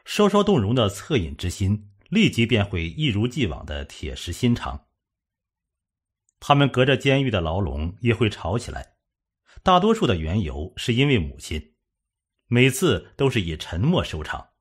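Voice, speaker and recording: A man reads a suspense novel aloud as a dramatic narrator. His voice sits fairly low and has a rough, husky grain. The delivery is solemn, with extremely animated rises and falls in pitch. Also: moderate pace. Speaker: audiobook narrator.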